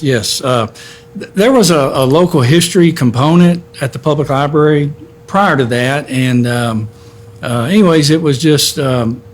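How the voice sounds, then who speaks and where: A middle-aged man from Texas, a history center director being interviewed, speaking in a measured way with many filler words. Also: mid-range voice. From born in Hawaii, raised in Texas. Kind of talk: conversational interview.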